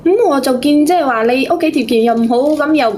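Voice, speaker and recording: A high-pitched woman with a Cantonese accent calls in to tell a personal story. She talks in a halting, plaintive way, circling back over her phrases. There is clear background noise on the recording. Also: a little mellow. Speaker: phone-in caller. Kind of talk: casual personal storytelling to a host.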